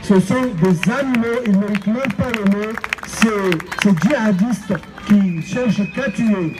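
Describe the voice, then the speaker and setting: An older man from Egypt giving an emphatic speech at an outdoor street rally into a handheld mic, often pausing on fillers. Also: high-pitched, crowd noise, noisy background. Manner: emphatic, hesitant with many fillers. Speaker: rally speaker. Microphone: handheld microphone.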